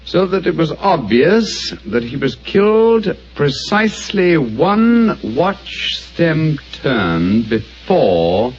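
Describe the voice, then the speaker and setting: An actor playing a calm, methodical master detective who talks in a measured, explanatory way, on an old radio drama. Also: male voice, a little high. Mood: explaining. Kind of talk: 1940s radio acting. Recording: dull old radio broadcast with faint hiss.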